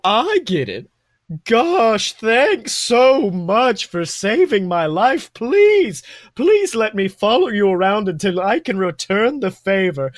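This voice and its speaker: A man puts on a prickly, flamboyant guy for a story read aloud. The voice sits very high and leaps about dramatically. Every phrase drips with sarcasm or indignation, in a close, dry sound. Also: dry recording, exaggerated voice. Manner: exaggerated, dramatic, sarcastic delivery. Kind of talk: fan fiction read aloud with character voices.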